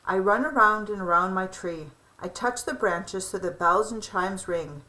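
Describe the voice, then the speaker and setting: A young woman reading a children's picture book aloud in an expressive storybook style. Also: mid-range voice, unhurried, low-quality recording.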